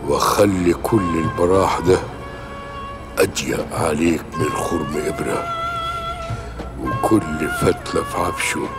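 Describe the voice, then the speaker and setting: A man's voice: sits low, wildly swooping pitch, a little slow, long pauses, exaggerated voice. An actor playing a bitter, vengeful man who swears revenge in an Egyptian Arabic accent, in a brooding, declamatory monologue. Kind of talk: dramatic monologue. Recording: loud music score behind voice.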